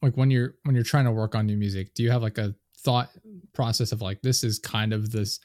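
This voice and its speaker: A man hosting a podcast, his low voice a touch nasal, picked up close on a studio microphone in a dry room. He chats casually and rushes ahead when an idea grabs him, tripping over his words. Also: dry recording. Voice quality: slightly nasal. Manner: talks fast when excited and trips over his words. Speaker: podcast host. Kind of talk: casual interview chat. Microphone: studio dynamic microphone.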